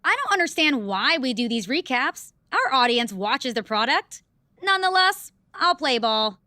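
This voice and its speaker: Snarky high-voiced female wrestling host. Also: sing-song, moderate pace, sharp tone, no room sound, clean studio sound, heavily acted. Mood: haughty. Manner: sarcastic, haughty. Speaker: show co-host. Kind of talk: scripted video game cutscene acting.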